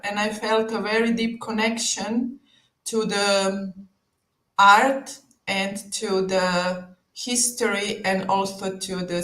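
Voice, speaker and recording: A woman from Croatia, a belly dancer talking on a live video call, lively, laughing often and searching for words. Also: medium pitch, roomy sound, rough recording. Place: home room, on a video call.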